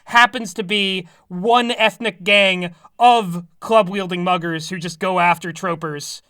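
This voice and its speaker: A woman's voice: lowish voice, steady tone, measured pace, fairly bright tone, dry recording, studio quality. A woman co-hosting a comedy podcast, riffing casually in a mock-serious, tongue-in-cheek way. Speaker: podcast co-host.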